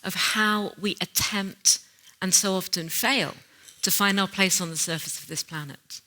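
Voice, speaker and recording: A woman's voice: medium pitch, measured pace, sharp tone, low-quality recording. A woman speaking in an onstage conference conversation, earnest and emphatic, stressing key phrases as she reflects.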